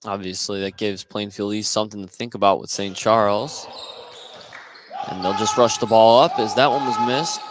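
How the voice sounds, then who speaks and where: An American man calling a high school basketball game live as a radio play-by-play announcer. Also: fairly even intonation, normal speed. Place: courtside in a basketball gym. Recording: clearly noisy gym with bouncing ball.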